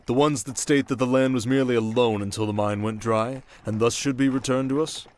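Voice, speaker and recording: A man plays a shrewd fantasy king in an ASMR audio drama, with a mid-pitched voice. He is measured and wry, regal in bearing, with a teasing and protective streak. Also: fairly even intonation, slight background noise, close to an everyday voice. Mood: teasing, smug.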